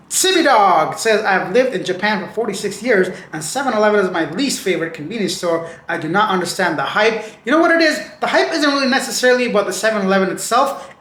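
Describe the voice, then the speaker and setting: A man hosting a casual news show with a slightly raspy voice, reading stories and adding slangy, chatty asides. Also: high voice, a bit bright, roomy sound. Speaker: news channel host.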